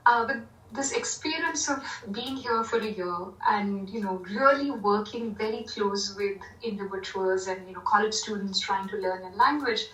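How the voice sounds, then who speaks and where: A woman's voice: medium pitch, moderate pace, few pauses, fairly bright tone. A woman from India, a university Hindi lecturer, answering interview questions thoughtfully with many "you know" fillers. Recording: echoey room, distant from mic.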